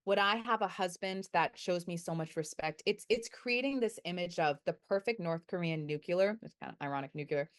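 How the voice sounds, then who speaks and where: A young adult woman answering interview questions as a researcher, thoughtful and measured, sometimes correcting herself. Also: fairly low pitch. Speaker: guest researcher.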